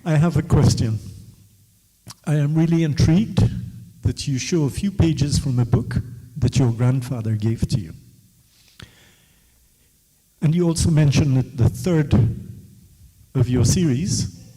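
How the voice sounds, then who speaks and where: Man from West Africa, Creole native speaker, very dark mellow tone, long hall echo. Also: a little high, normal speed, husky. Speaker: audience member and author. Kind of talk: question and answer at a book launch.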